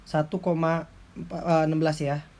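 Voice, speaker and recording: A man explains like an accounting lecturer in an informal tutorial, going step by step in a halting way. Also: on the high side, little pitch movement, a little mellow. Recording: clearly noisy background with clicks.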